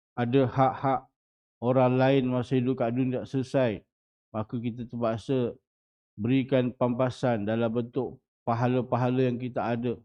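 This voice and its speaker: Malaysian guy in his 40s giving a dawn religious talk, keeps asking the crowd questions, muffled lo-fi sound. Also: mid-range voice. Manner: asks the audience rhetorical questions. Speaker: religious teacher. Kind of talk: dawn mosque lecture. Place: small prayer hall. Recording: muffled lo-fi recording.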